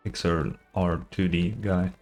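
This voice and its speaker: A man with a fairly low voice reacts to a game in a loose, rambling, informal way, swearing casually. Game music plays softly behind him. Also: level tone, a little slow. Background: game music and dialogue.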